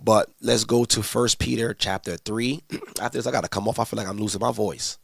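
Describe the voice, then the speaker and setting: A man's voice: lowish voice, steady tone, fairly quick, vocal fry, husky, no echo, clean studio sound. A man teaching scripture in a casual livestream, answering viewers' questions in a conversational, slangy way with many restarts.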